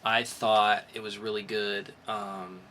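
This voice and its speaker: A man's voice: fairly low pitch, flat delivery, slow, sharp tone, slight background noise. A man co-hosting a podcast, chatting casually and explaining things about comics in an informal way. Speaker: podcast co-host reviewing comics. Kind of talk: casual chat.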